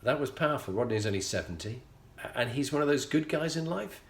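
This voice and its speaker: A middle-aged man with a Yorkshire accent, telling a personal story as a show host in an earnest, measured way with frequent pauses. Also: mid-range voice, animated. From England. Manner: earnest, measured, pausing often. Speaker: leadership coach and show host. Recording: echoey room.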